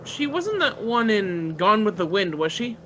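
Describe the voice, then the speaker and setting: An actor playing an eager, carefree young student pilot in a naturalistic radio play, boyish, slangy and enthusiastic. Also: male voice, sits high, sing-song, a little slow, background noise. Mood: chatty, upbeat. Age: young adult.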